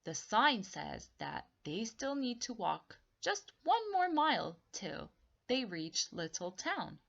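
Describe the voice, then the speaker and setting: A woman reading a children's story aloud in an expressive, deliberate way, repeating and explaining things simply for young listeners. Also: fairly high pitch, creaky, muffled recording.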